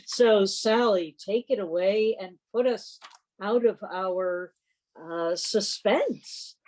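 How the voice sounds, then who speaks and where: A woman hosts an online meeting in a mid-pitched voice. She speaks informally and chattily over a video call, at a leisurely overall pace. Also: slow, a little mellow, poor audio. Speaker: meeting host.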